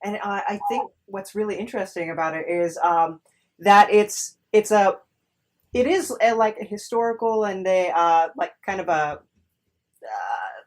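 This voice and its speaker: A woman's voice: medium pitch, animated, unhurried, a little mellow. A woman interviewing casually as a podcast host, in a hesitant, encouraging manner.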